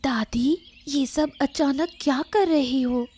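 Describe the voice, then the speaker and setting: A woman's voice: sits high, slow, warm tone. A woman playing a worried young granddaughter in a cartoon story, earnest, doubtful and questioning. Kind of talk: scripted cartoon story acting. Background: music.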